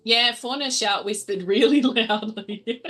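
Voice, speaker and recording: A woman's voice: a little high, unhurried, fairly bright tone. A woman playing a tabletop roleplaying game, talking in a casual, playful way. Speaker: player.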